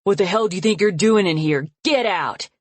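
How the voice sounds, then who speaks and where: A woman's voice: mid-range voice, normal speed, dry recording, voice pushed up, close to an everyday voice. A woman voicing a snarling, abusive father. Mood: menacing, angry. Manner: snarling, menacing, shouting. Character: abusive furious middle-aged father.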